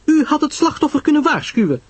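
Man doing an agitated, high-pitched young society woman. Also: very animated, quick pace, dry recording. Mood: reproachful. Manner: emphatic, exclaiming.

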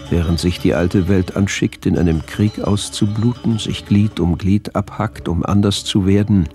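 Radio drama: brooding, grandiose male doctor, deep voice, formal measured diary monologue, faint music underneath. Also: fairly even intonation, mellow. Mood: solemn, lofty.

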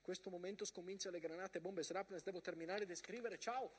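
An actor performing a theatrical monologue as a soldier-narrator of the First World War, reading front-line letters aloud in emphatic, broken phrases. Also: male voice, high-pitched, fairly quick, a little hiss or hum, natural voice. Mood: anguished.

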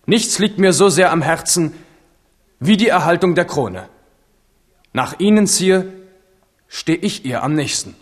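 Steadfast prince in a reverberant hall. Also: male voice, a little high, expressive, unhurried, pauses often. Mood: resolute. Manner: earnest, resolute, formal. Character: steadfast, proud royal heir, loyal but frank. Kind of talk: German radio play acting, declamatory.

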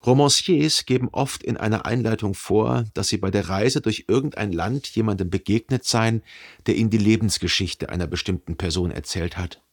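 Brooding jealous narrator in a radio play, lowish husky voice, thinks slowly out loud. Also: male voice. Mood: wistful, reflective. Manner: reflective, measured, long deliberate sentences. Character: brooding, jealous, introspective male first-person narrator in love. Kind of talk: literary radio drama narration, first person.

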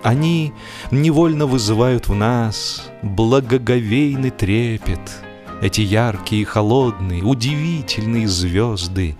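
Male narrator, unhurried pace, mid-range pitch, expressive dramatic storytelling, deliberate pauses, faint music behind. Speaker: audiobook narrator, actor. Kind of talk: dramatic scripted literary narration for radio. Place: radio studio.

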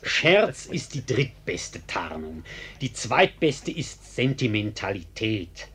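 An actor playing a sly, insolently calm male ex-convict in a German radio play, mock-courteous and ironic, with a husky voice. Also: fairly low pitch, full character voice. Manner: mock-courteous, teasing, unhurried irony.

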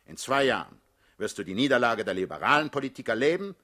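A man's voice: medium pitch, sing-song, fast, sharp tone. A male actor playing a hard-headed older revolutionary leader in a radio drama, lecturing and condescending. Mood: calculating, patient. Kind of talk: theatrical radio drama acting.

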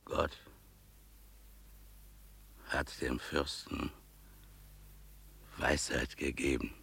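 A humble, pious keeper of beasts in a radio drama. His voice is extremely low and creaky, and he speaks slowly and solemnly, with reverence. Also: level tone, bright, forceful tone, heavily acted. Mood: solemn, reverent. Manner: slow, solemn, reverent delivery. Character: humble, pious male animal keeper, father pleading with a prince. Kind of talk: German radio play acting.

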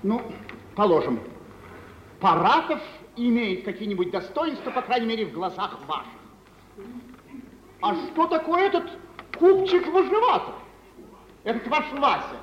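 An actor playing a jealous, prickly, self-important suitor with theatrical, pointed delivery in a 1950s Soviet radio drama. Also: male voice, very high voice, big pitch swings, measured pace, long pauses, exaggerated voice. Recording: distant, echoey, muffled, noisy stage recording.

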